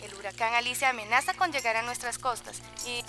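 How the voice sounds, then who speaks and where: An actress playing a radio announcer reading a storm bulletin. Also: female voice, a little high, a little fast, music in the background, natural voice.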